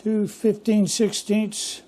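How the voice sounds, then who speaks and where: An older man hosting a casual workshop vlog from his home machine shop, talking in a hoarse, rambling, folksy way. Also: sits high, level tone, slow, darkish tone. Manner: rambling, folksy and self-deprecating. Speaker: workshop channel host.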